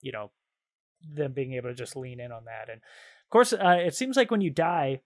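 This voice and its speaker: A man's voice: medium pitch, sing-song, moderate pace, darkish tone. A man co-hosting a podcast, chatting casually in a rambling, slangy, enthusiastic way about games and shows. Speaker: podcast co-host.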